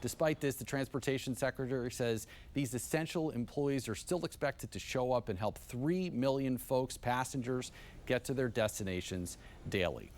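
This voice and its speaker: A man's voice: mid-range voice, moderate pace, darkish tone. Polished American male news anchor. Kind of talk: TV news presenting.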